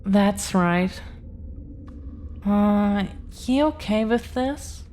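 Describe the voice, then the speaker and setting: A woman plays a dragon-blooded girlfriend in an intimate audio drama, tender and possessive. Her delivery is extremely slow, earnest and hesitant, in a slightly low-key, affectionate manner. Some faint noise sits behind the voice. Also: darkish tone. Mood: protective, adoring. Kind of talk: intimate scripted audio roleplay.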